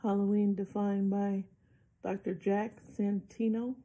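A middle-aged woman reading a children's picture book aloud in a deliberate way, like a librarian at story time. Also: mid-range voice, level tone, dark tone. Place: small indoor room with bookshelves.

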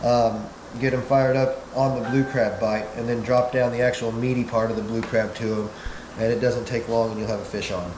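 A man's voice: medium pitch, little pitch movement, moderate pace. Man, 30s, casual how-to host, filler-heavy, roomy echo, constant background hum. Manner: easygoing, many "uh" fillers, step-by-step. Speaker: fishing channel host. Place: small indoor bait room, hard walls. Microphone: wide camera several feet away.

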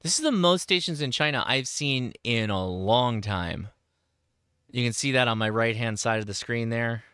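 A bright, forward-sounding American man in his forties presents in a casual, enthusiastic way. He is close on a studio microphone in a dry room, like an informal host at his desk. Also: medium pitch, animated, normal speed, clean tone, dry recording. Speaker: host, ham radio operator. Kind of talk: casual explainer and radio operating. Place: indoor home radio desk. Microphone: close boom studio microphone.

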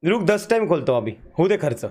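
A young man, reacting loudly and theatrically as he live-streams a game. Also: a little high, fast, faint music behind. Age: young adult. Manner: loud, theatrical, excitable. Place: indoor streaming setup in a gaming chair.

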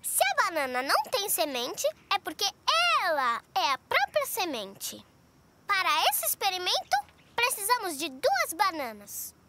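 A woman's voice: very high voice, huge pitch swings, a little slow, very noisy, full character voice. A woman voicing a curious, bubbly little girl in a children's cartoon, eager and full of questions. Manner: eager, inquisitive, exclaiming. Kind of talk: animated children's cartoon dialogue. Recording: music bed under the voice.

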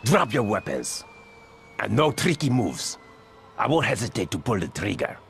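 A man playing a menacing, smug crime boss in a video game, slow and mock-polite as he threatens. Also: fairly low pitch, big pitch swings, vocal fry, background noise. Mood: cold threat. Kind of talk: video game cutscene acting.

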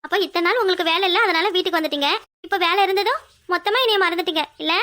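Very high, plaintive young wife played by a woman. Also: very fast, bright, forceful tone. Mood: hurt, accusing. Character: emotional, stubborn young wife, pleading and pouting. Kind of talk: domestic serial drama acting.

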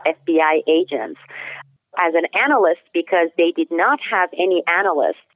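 A woman's voice: lowish voice, normal speed, dry recording. Woman on a tinny phone line. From Turkey. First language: Turkish. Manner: steady, detailed explanation with occasional restarts. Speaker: guest, author. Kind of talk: interview answers.

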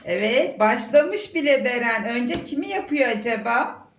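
Woman, encouraging mother talking to young child, casual narration, slightly high pitch, creaky edge, echoey home room. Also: animated, measured pace. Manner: encouraging, praising. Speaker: mother filming and narrating her child's puzzle activity. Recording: echoey room with music behind.

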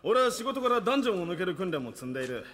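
Blustering, high-strung guardsman. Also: sing-song, rapid-fire, fairly bright tone. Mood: confident. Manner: blustering, lecturing. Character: gruff, proud male town guardsman, quick to scold. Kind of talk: anime audio drama acting. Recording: long hall reverb, noisy.

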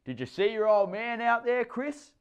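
A man voicing a boastful, cheerful middle-aged surfer stepfather, pitched higher and louder than his own voice. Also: very animated, moderate pace, clean tone, lo-fi sound. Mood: chirpy.

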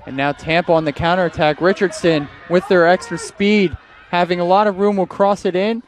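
A young man doing live play-by-play for a night soccer game outdoors, his voice strained, getting louder on attacks and correcting himself often. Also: high voice, fairly even intonation, brisk. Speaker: play-by-play commentator. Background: crowd noise.

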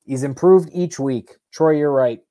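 Excitable young man streaming from home. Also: a little high, measured pace. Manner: excitable, rambling, sarcastic asides. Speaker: sports reaction streamer. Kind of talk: live post-game reaction. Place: small bedroom at home. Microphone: earbud headset mic on a webcam stream.

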